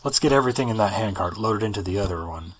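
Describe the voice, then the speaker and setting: A man voicing a commanding survivor who keeps his group moving, with a lowish voice set against loud outdoor sound of birds and open country. A radio-play figure who talks in firm, urgent orders. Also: animated, fast talker, slight background noise, close to an everyday voice, poor audio. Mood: reassuring. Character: male survivor leading a group to safety. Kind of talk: audio drama acting.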